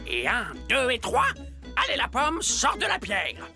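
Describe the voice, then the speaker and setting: A woman voicing an excitable small young cartoon animal who chatters in an eager, theatrical way, in a French cartoon dub. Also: on the high side, unhurried, soft background music, full character voice. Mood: excited.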